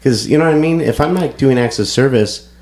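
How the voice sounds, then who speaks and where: A man's voice: medium pitch, fairly quick, darkish tone. A man co-hosting a podcast, congested and stuffy, talking in loose rambling chat with frequent restarts. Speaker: podcast co-host. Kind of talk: casual chat.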